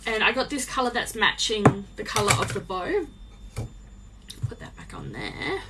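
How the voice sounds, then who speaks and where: Croaky-voiced woman sewing live. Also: mid-range voice, huge pitch swings, moderate pace, very noisy. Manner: chatty, rambling, many ums. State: slightly croaky voice. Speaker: small business owner live-streaming crafts. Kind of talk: casual chat while sewing. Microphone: phone on a live stream.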